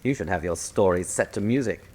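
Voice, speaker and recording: Cruel, very low-voiced colonel. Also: female voice, animated, a little fast, darkish tone. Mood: mocking. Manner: sardonic, commanding, precise. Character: cold, cruel, mocking colonel and villainous spymaster. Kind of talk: radio drama acting.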